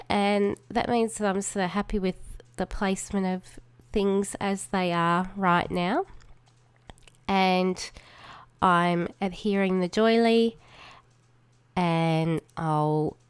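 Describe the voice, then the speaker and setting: Slow, chatty Australian woman with a snuffly cold. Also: lowish voice, clear, resonant tone, no echo. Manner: chatty, relaxed, many fillers and trailing asides. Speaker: crafting channel host. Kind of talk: casual crafting voiceover.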